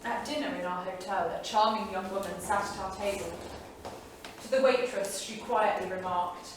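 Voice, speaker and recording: An actress playing a spirited, romantic 1930s wife in a dramatic staged reading. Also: female voice, mid-range voice, steady tone, normal speed, close to an everyday voice. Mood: reflective. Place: small theatre studio. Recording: echoey theatre, distant mic, faint noise.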